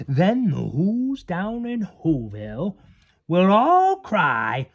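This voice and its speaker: A sly storybook monster, acted out by a man reading aloud, sneering and gleefully mean. The delivery is slow and swoops up and down, with a slightly dull recorded sound. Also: high voice, huge pitch swings, full character voice. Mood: gleeful scorn.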